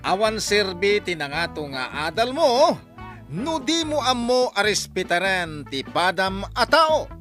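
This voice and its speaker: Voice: mid-range voice, very animated, unhurried, fairly bright tone, soft background music. A radio announcer introducing a drama program in a dramatic, scripted style.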